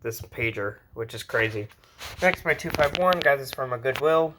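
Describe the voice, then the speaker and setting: A man's voice: mid-range voice, lively intonation, normal speed, noisy background. A man talking casually and informally as he shows off a collection, rambling as he goes. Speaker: host showing a radio collection. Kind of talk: casual hobby show-and-tell.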